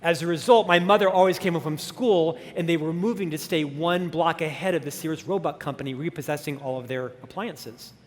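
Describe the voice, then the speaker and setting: A man in his fifties with a stutter and a high voice tells his own story at a conference, animated and self-deprecating. His words ring out with a long hall reverb from a big stage. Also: brisk, few pauses. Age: 50s. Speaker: keynote speaker. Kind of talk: motivational conference talk.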